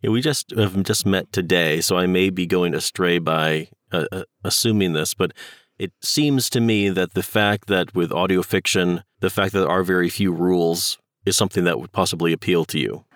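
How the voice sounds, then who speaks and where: A man interviewing a guest on a podcast, measured and tentative in how he puts his questions. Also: low-pitched, fairly bright tone, no echo, clean studio sound.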